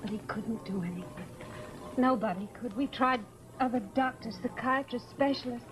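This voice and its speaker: An actress playing an anxious, earnest wife in an old-time radio horror drama. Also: female voice, a little high, moderate pace, music in the background, natural voice, poor audio. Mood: despairing. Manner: earnest, fretful. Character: anxious, pleading young wife of doomed man.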